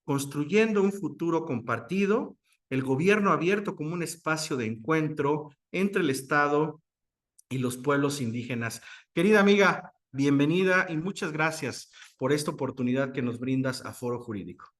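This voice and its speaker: A man's voice: a little high, lively intonation, moderate pace. A man with a Mexican Spanish accent hosting a formal interview, reading an introduction and addressing his guest with ceremonious courtesy. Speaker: interview host.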